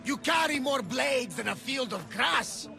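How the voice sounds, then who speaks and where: An actor playing a boisterous older ship captain with a gravelly voice and a hearty, teasing manner. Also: male voice, extremely high pitch, expressive, unhurried, shouting, full character voice. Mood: teasing, loud. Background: game score music.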